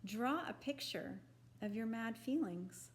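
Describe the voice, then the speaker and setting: Slow-paced woman reading to children. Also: a little high, slight background noise. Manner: deliberate, expressive, instructional phrasing for young children. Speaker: children's picture book reader. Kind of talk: read-aloud of a children's book.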